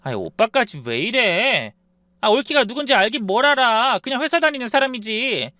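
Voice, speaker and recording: A bratty, snobbish grown daughter with a whiny, accusing tone, as a male narrator puts her on. The voice jumps well above his own and turns loud and sharp. The recording is close and dry. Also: extremely high pitch, big pitch swings, moderate pace, no echo, pitched above the performer's own voice, heavily acted. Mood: shocked. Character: spoiled, shrill adult daughter, snobbish and bratty.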